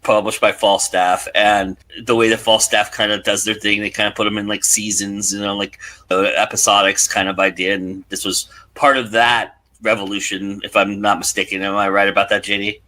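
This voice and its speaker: A man's voice: fairly low pitch, flat delivery, moderate pace, bright, forceful tone. A man hosting an interview in a casual, conversational style. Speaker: host, interviewer.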